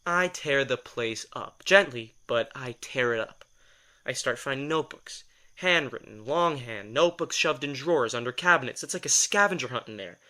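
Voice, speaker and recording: A man's voice: mid-range voice, expressive, normal speed, pushed and bright. A man acting in an audio drama as a sardonic, exasperated assistant who reads minds, talking in a wry, defensive, rambling conversational way. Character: sardonic, exasperated male telepath, former personal assistant to a writer.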